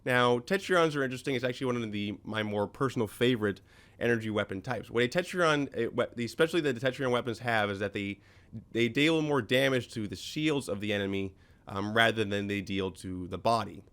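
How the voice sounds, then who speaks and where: A man's voice: mid-range voice, brisk, fairly bright tone, clear, resonant tone. A man hosting a casual game commentary, his voice slightly scratchy from a recent cold. He rambles through explanations with many ums and self-corrections. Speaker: gaming video host.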